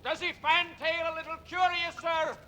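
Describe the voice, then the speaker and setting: High-pitched, eager whaling sailor. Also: male voice, little pitch movement, moderate pace, pushed and bright. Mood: eager, questioning. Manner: salty nautical dialect. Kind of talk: classic radio drama acting. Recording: faint noise, old radio play recording.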